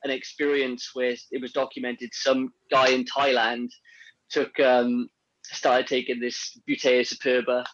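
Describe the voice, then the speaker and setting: A man's voice: medium pitch, little pitch movement, unhurried, fairly bright tone, lo-fi sound. Rambling man in casual health chat. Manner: rambling, many restarts and fillers. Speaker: podcast guest on men's health. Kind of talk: casual conversation.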